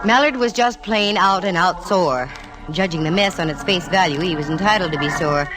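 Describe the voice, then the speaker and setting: A woman's voice: on the low side, normal speed, close to an everyday voice, poor audio. An actress playing a breezy, wry female private eye narrating her case in slangy 1940s radio style. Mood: wry, brisk. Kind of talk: 1940s radio detective acting. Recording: old radio recording with music.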